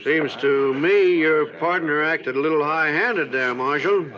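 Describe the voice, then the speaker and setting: A sharp-voiced hostile frontier constable. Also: male voice, on the high side, unhurried. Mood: disapproving. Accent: American. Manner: folksy, needling, veiled menace. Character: hostile, insinuating small-town frontier constable. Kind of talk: old-time radio western acting. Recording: dull 1950s radio recording.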